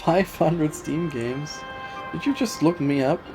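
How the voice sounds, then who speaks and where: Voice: mid-range voice, slow pace, rough recording. A streamer giving casual, dry and wry live commentary while playing a game and reading its dialogue aloud. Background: faint game music.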